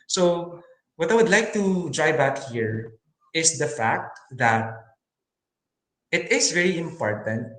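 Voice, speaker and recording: A man giving an earnest webinar talk on teaching science in English, pausing often with fillers and restarts. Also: fairly high pitch, animated, fairly bright tone, reverberant, rough recording. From Philippines. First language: Filipino.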